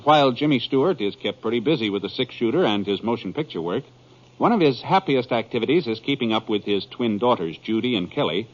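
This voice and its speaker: An American man announces for 1950s radio in a polished, genial, scripted manner. His intonation is lively and wide-ranging. The old recording sounds muffled. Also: mid-range voice.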